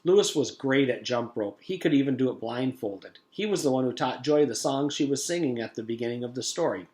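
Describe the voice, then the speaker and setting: An echoey room surrounds a man reading a children's book aloud. His voice sits at an average pitch, and he tells the story as a teacher would to pupils. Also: animated, fairly quick, darkish tone.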